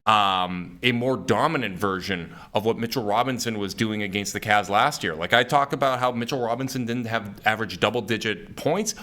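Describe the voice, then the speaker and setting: Male sports podcast host, slightly hoarse and raspy; low, forceful delivery, emphatic casual analysis. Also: moderate pace, few pauses. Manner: energetic, emphatic basketball analysis.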